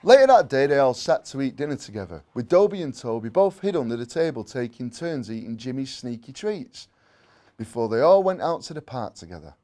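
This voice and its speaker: A man reading a children's story aloud in an expressive way, with playful asides. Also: medium pitch, a little fast. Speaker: story reader.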